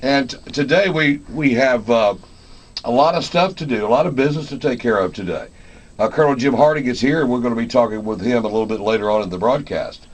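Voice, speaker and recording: A man hosting a radio show in a folksy, halting, casual style. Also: medium pitch. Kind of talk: casual radio interview and announcing.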